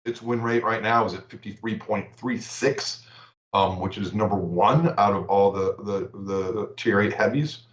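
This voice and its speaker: Low-voiced man in an echoey room. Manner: halting, repeats words, reads out stats. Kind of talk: casual livestream chat. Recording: echoey room, dull lo-fi audio.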